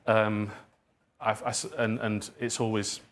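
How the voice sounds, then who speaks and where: Low-voiced man, reflective and halting. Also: level tone. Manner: reflective, halting, hedging with self-deprecating asides. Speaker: guest speaker, writer and dramatist. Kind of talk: informal audience Q&A at a talk.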